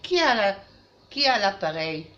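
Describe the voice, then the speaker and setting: Woman, language teacher, didactic, repetitive, slow delivery, lively intonation, dull roomy recording with faint noise. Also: medium pitch. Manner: didactic, repeats phrases, switches into halting English. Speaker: French teacher. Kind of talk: language lesson for children, with repetition.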